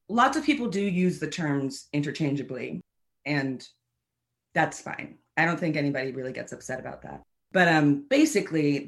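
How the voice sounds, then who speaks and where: A woman dialect coach with a Southern American accent, explaining things animatedly and laughing at herself. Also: low voice. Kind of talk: explaining in casual interview.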